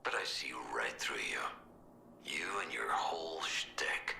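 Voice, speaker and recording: A gruff, short-tempered American soldier in a game, very low and breathily growled, with a forceful, sharp edge. He sounds tough and curt, threatening in an echoey room. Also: lively intonation, slow pace, whispered, full character voice. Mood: stern threat. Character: gruff, hard-bitten male soldier, hostile and short-tempered. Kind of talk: video game cutscene acting.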